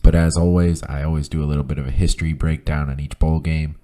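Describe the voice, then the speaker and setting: Male podcast host with a hoarse flu voice and a dark, mellow tone that barely rises or falls; hesitant, filler-heavy, runs through stat lists. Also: a bass voice, level tone. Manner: halting, many ums and uhs, reads off lists of stats. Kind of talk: casual solo podcast talk.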